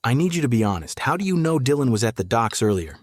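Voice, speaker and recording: An actor playing a middle-aged sheriff in a noir audio drama, a patient interrogator who is measured, terse and probing. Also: male voice, medium pitch, sing-song, no room sound. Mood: quietly menacing. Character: male small-town sheriff, calm, patient, steely interrogator.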